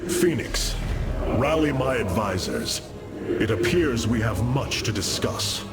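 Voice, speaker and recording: A noble alien commander in a game cinematic. His mid-pitched voice moves widely in tone, formal and full of solemn resolve, over loud music. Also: expressive, slow. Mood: grave resolve. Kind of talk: video game cinematic acting.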